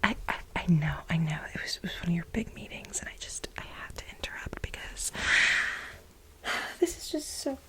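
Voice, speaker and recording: A woman playing a frantic, aggrieved female assistant in a soft-spoken ASMR role play, breathy and mostly whispered, with pleading, stammering, melodramatic repetition. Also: lowish voice, big pitch swings, normal speed. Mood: apologetic, flustered.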